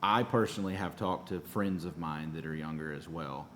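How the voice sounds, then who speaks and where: A man's voice: very deep voice, moderate pace, a bit bright, slight background noise. A man in his forties hosting a casual interview, asking questions and affirming his guest. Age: 40s. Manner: affirming, with frequent short backchannels. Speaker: podcast host.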